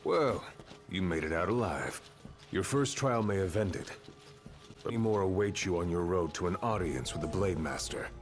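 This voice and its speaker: Veteran warrior, low voice, dry sardonic lines, music behind. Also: male voice, lively intonation, normal speed. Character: weary, dry-witted veteran male warrior. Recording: game audio with music.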